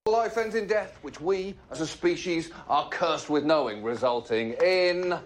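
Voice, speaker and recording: A man voicing a grave film narrator who speaks slowly about death. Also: on the high side, animated, slight background noise. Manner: slow, drawn-out delivery.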